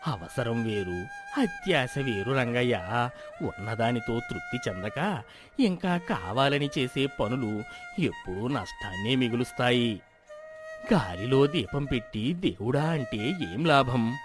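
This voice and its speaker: A man puts on a wise old village elder from a moral tale. He speaks low and calmly, gently admonishing in measured phrases, with music behind. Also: natural voice. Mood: calm, advising.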